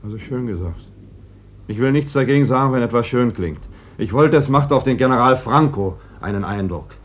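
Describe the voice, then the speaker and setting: An actor playing a shrewd, insistent working man in a German radio play, questioning deliberately with an ironic edge. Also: mid-range voice, animated, close to an everyday voice. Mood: bitterly ironic. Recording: dull, noisy vintage radio recording.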